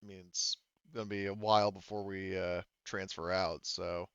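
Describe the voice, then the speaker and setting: A man's voice: deep voice, flat delivery, normal speed, a bit bright, smooth, dry recording, muffled recording. A man voicing an easygoing female soldier in a tabletop game, speaking in an offhand, hesitant, agreeable way. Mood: casual, agreeable. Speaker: game master voicing a character. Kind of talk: improvised tabletop roleplay dialogue.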